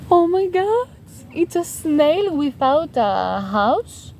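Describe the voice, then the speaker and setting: A Greek woman with a Greek accent giving a casual motivational coaching talk while walking outdoors, halting and emphatic with drawn-out words. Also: high-pitched, big pitch swings, darkish tone, slight background noise. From Greece. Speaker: spiritual life coach.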